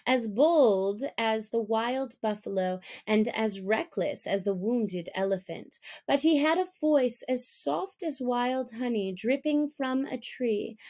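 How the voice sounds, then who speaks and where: A woman narrating a classic story as an audiobook reader, in a measured, expressive storytelling manner. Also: medium pitch.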